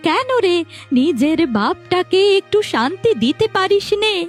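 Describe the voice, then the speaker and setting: Stern, high-voiced old grandmother played by a woman. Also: big pitch swings, unhurried, full character voice. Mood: scolding. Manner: scolding, admonishing. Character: stern old grandmother, scolding yet caring mother-in-law. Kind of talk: cartoon fairy-tale voice acting. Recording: faint background music.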